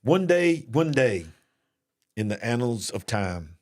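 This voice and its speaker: A man's voice: medium pitch, sing-song, slow pace, a little mellow. A man with a Southern US accent hosting a live online show, talking in a casual, joking, folksy way. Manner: jokey, folksy, mocks fake accents. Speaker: host. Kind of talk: casual live-stream commentary.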